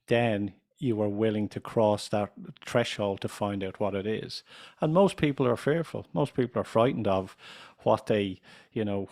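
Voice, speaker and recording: An Irish man in his fifties, a business coach speaking slowly and deliberately as a podcast guest. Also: fairly low pitch, a little mellow. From Ireland. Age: 50s. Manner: deliberate, self-assured, slows speech for clarity. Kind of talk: podcast interview.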